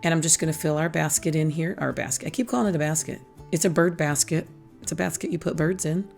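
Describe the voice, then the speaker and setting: A hoarse, raspy-voiced middle-aged woman hosting a casual craft tutorial, chatty and teasing herself as she explains each step. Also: sits low, fast, darkish tone, faint music behind. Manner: chatty, self-teasing, laughs at her own jokes. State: hoarse, losing her voice. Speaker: craft channel host.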